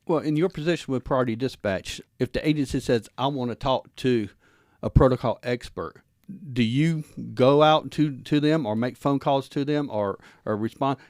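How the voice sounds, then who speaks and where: A man hosting a conversational interview, talking informally and wordily, with halting repeats as he works through his thoughts. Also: mid-range voice, darkish tone, dry recording, clean studio sound. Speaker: guest host, former police officer.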